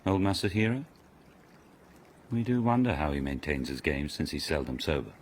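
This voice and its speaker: An actor playing a sardonic, imperious aristocratic lord in a radio period mystery, with a pompous, commanding manner. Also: male voice, rumbling low, normal speed, a little hiss or hum. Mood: probing, imperious. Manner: commanding, pompous, drawling scorn.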